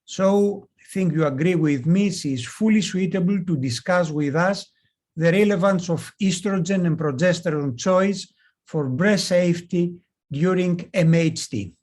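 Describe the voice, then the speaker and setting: A man opening an online medical webinar as moderator, introducing speakers and explaining housekeeping in a measured, formal way. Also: high voice, a little mellow. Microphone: video call.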